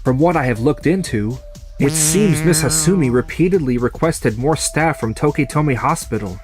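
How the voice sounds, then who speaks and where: A man playing a calm, resolute young surgeon turned farmer in a manga dub, speaking in a measured, firm way. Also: on the high side, over music, close to an everyday voice. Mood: firm, accusing.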